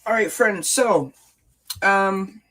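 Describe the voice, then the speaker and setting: A woman with a stuffed-up nose chats casually on a home webcam livestream. She greets viewers by name, calling them love and honey. Her speech is loose and full of uhs and restarts, at a middling pitch. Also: very slow, fairly bright tone, low-quality recording. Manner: greets chat viewers by name with terms of endearment; frequent filler words and restarts. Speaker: livestream host. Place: indoors at home, lights off, sunny window behind.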